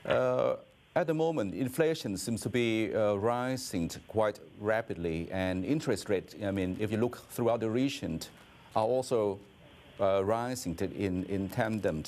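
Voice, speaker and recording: A man working as an interviewer, putting roundabout questions in a halting, conversational way. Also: lowish voice, a little mellow. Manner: halting, roundabout questions peppered with "you know".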